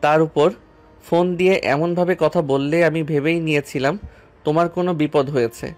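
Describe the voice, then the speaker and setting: A man's voice: fairly high pitch, fairly even intonation, moderate pace, a little mellow, close to an everyday voice. An actor playing a worried, exasperated young man in an audio story, scolding with a stern, agitated manner. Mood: stern, annoyed. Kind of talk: audio story dialogue acting. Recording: music under the voice. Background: background music.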